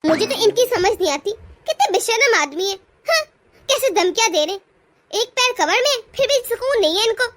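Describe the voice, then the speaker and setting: A woman's voice: very high voice, big pitch swings, normal speed, a bit bright, full character voice. A woman voicing a bold, defiant young daughter-in-law in a cartoon family drama. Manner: defiant, scolding.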